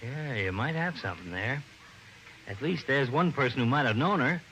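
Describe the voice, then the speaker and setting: A man's voice: mid-range voice, animated, moderate pace, a bit bright, slight background noise, low-quality recording. An actor playing an earnest, plain-spoken male policeman, the detective's friend, in an old radio drama. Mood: puzzled, earnest.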